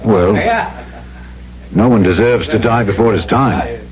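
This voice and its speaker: An actor playing an earnest, courteous trader and narrator in an old-time radio drama, with a polite, measured delivery. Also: male voice, on the low side, a crowd in the background, lightly acted. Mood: sober, firm. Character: earnest, courteous male trader newly arrived on island, narrator. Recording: dull old radio recording, music behind.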